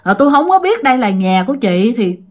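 Ghost-story husband voiced by a woman: ordinary man, high-pitched, apologetic, pleading repeatedly. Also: big pitch swings, fast talker. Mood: anxious pleading. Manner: pleading, repetitive.

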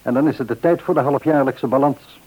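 An actor playing a devout, reassuring middle-aged father in a radio play, speaking in a measured, earnest, counselling way. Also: mid-range voice, a little mellow, husky, close to an everyday voice, low-quality recording. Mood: content, reflective. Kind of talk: mid-century radio play acting.

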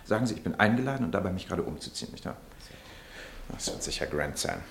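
An impatient gentleman of good manners in a radio drama. The voice is deep and the speech quick. He is urbane and brisk, softening his orders with polite little tags. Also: sing-song, creaky, a little hiss or hum, full character voice. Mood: brisk, casual. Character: busy, well-mannered English gentleman, impatient but polite. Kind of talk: German radio play acting.

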